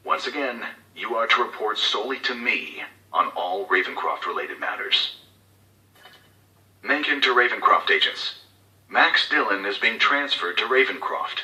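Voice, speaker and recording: A man's voice: on the low side, bright, forceful tone, roomy sound, distant mic, poor audio. An actor playing a cold, scheming male corporate executive who gives covert orders in formal, clipped, authoritative speech for a game audio log. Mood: cold, discreet.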